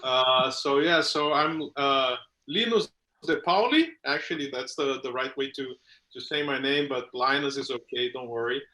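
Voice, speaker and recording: A man with a Brazilian Portuguese accent chats on a video call as a guest. His voice is bright and his speech halting, full of fillers. The room around him sounds echoey. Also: mid-range voice. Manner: hesitant, many fillers. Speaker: brewery owner guest. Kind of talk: casual interview over video call.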